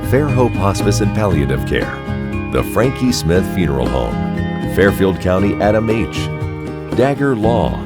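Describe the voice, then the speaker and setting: A man reading a scripted show opening and sponsor list in a measured, announcer style. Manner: measured, lively pitch. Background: guitar music.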